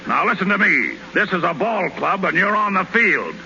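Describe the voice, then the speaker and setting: An actor playing a gruff, wisecracking baseball team captain who barks at his players in a 1930s radio comedy. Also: high-pitched, very animated, normal speed, sharp tone, heavily acted. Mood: dry sarcasm. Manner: wisecracking, barking, slangy. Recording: muffled, noisy 1930s radio broadcast recording.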